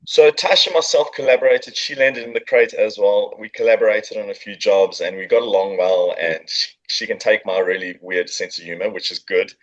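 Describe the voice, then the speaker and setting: A man's voice: on the low side, a little fast, few pauses, lo-fi sound. A middle-aged man with a South African accent, chatting as a podcast guest and telling his story with jokes and fillers. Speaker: podcast guest, business owner. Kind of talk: casual interview chat. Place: coworking space.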